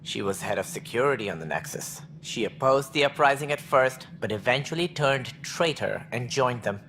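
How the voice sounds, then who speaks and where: Stern, bright-voiced alien administrator. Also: male voice, mid-range voice, lively intonation, a little hiss or hum. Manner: formal, measured, clipped. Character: stern, proud, disdainful male alien administrator. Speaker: alien colony director. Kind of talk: video game dialogue.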